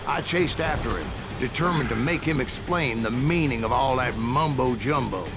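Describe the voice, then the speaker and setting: Man playing a gravelly old Western gunslinger. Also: medium pitch, normal speed, natural voice. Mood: gritty storytelling. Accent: American. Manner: drawling, folksy storyteller. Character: grizzled old male Western gunslinger telling tall tales. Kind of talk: scripted in-character narration. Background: music.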